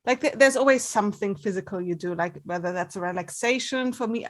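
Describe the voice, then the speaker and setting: Mid-pitched woman, candid and conversational. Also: a little fast. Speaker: actor being interviewed. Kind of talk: casual chat.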